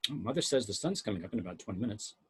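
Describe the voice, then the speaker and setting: A man reading a measured science officer on a spaceship, reporting technical readings matter-of-factly at an amateur table read. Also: fairly low pitch, animated, slightly rough. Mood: clinical.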